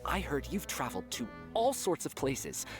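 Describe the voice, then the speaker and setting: A woman's voice: deep voice, big pitch swings, normal speed, music in the background. A woman voicing a chatty young female spy in a game.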